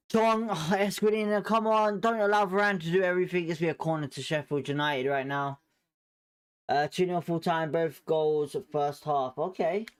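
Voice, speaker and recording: A man talks through a match as a live fan host, calling the action in a casual, opinionated way and often hedging his views. His voice is clear and smooth, sitting a little above the usual male pitch.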